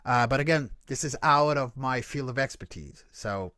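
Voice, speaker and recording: A man's voice: mid-range voice, normal speed, sharp tone, no room sound, studio quality. A man, a voice coach and creature performer, answering questions earnestly on a video call, often restarting his sentences. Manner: earnest, explanatory, frequent restarts and self-corrections. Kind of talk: casual Q&A answers.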